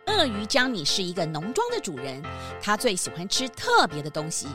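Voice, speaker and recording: A woman narrator telling a scripted children's story in an expressive, emphatic storytelling manner. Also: mid-range voice, brisk. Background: music under the narration.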